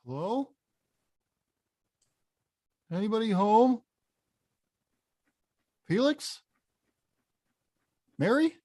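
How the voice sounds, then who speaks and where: A woman voicing a big, hot-tempered but caring male video game villain in a script read, with a blunt, gruff delivery. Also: on the high side, big pitch swings, extremely slow, pauses often, warm tone, no echo, clean studio sound, full character voice. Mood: lost, dejected. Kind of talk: animated film script table read.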